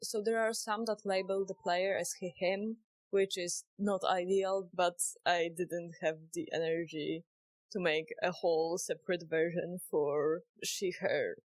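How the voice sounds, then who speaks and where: A woman with a Polish accent talks in an unhurried, deliberate way, with long pauses between thoughts. She explains things step by step in a relaxed, conversational devlog tone. The sound is close and dry, with no room echo. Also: on the low side. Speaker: indie game developer. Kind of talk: casual devlog narration.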